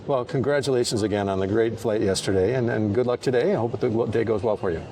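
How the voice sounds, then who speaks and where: A man's voice: fairly low pitch, quick pace, dark tone. A man conducting a casual interview as the interviewer. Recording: crowd chatter in the background.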